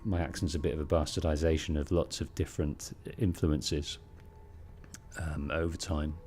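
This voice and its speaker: A British man with a deep, bassy voice giving measured, polite storytelling commentary as he streams a game, close on the microphone. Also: level tone, a little mellow. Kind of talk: let's play commentary, storytelling. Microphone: close bass-heavy streaming microphone.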